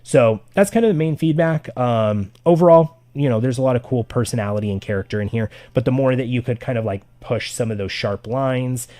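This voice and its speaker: A man giving casual art critique on a live stream, talking loosely with lots of fillers, his voice getting raspy. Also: medium pitch, brisk, few pauses, dark tone, dry recording. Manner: loose and chatty, with many "like" fillers. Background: faint background music.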